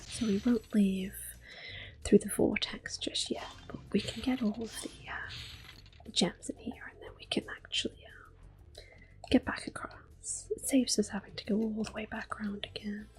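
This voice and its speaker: A woman guides listeners through a video game in a hushed, breathy whisper. Her pace is unhurried and her tone stays gentle and chatty, with a few brief exclamations when something goes wrong. Her pitch sits at an ordinary middle height. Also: steady tone, low-quality recording. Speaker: gaming ASMR host. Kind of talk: soft whispered game commentary.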